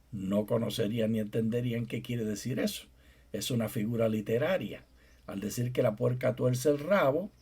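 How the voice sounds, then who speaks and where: A man's voice: medium pitch, a little mellow, slightly rough. A middle-aged man co-hosting a Bible study program, explaining things patiently and steering the talk back to the topic. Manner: explanatory, teasing, steers the conversation back on track. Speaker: co-host, theologian and professor.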